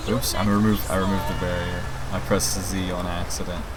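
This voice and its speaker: A man's voice: low voice, little pitch movement, a little fast, over loud music. A man giving casual, informal let's play commentary, thinking aloud and talking to his viewers. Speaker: gaming video host and mod maker. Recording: clear game rain and traffic noise. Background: video game rain, vehicles and city ambience.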